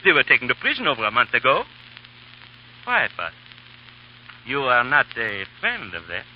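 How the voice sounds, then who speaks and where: An actor playing a jovial, bloodthirsty barber of revolutionary France, theatrical and relishing grisly talk in 1930s radio drama style. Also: male voice, mid-range voice, wildly swooping pitch, normal speed. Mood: gossipy. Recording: muffled, noisy old 1930s radio broadcast.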